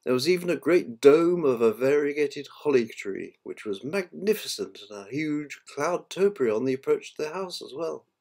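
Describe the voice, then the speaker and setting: An older man narrating a children's story from a book in a measured, amateur reading manner. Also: on the high side, animated, a little mellow. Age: 60s or older. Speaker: author reading his own book.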